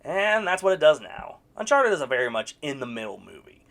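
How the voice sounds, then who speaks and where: A young adult delivers a scripted film review in a sarcastic, animated way, handing out blunt verdicts. The voice sits at a middle pitch but leaps up and down with lively inflection. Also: brisk. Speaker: video reviewer. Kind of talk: scripted movie review commentary.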